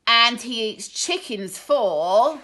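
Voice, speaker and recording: A woman voicing a naughty, boastful young wolf cub with a rude, yelling storybook manner. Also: a little high, lively intonation, pitched above the performer's own voice, full character voice. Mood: defiant, boastful. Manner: rude, yelling, drawn-out taunts.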